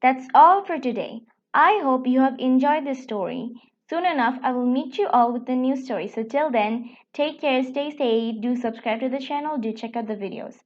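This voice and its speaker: A woman hosting a children's storytime, reading a picture book aloud in a sing-song, deliberate way. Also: high voice, lo-fi sound. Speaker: children's storytime channel host.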